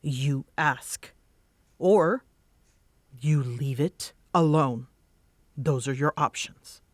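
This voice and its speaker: Roleplay audio drama: prickly young female roommate played by a woman, unhurried, halting, defensive delivery with a soft side, very dry recording. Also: low-pitched, dry recording, full character voice. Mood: stern, guarded.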